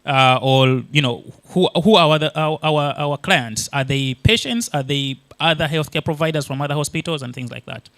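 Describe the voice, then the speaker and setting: A man's voice: on the high side, moderate pace, few pauses, a bit bright, no room sound. A man from Uganda whose first language is Luganda, giving a conference talk and explaining things step by step with many fillers and restarts. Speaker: conference presenter.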